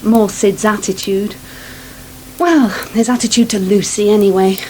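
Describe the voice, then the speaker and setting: A woman's voice: medium pitch, steady tone, a little slow, a little mellow, natural voice. An actress playing a wry, fed-up woman who grumbles about menfolk in a naturalistic radio soap. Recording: noisy 1980s radio broadcast.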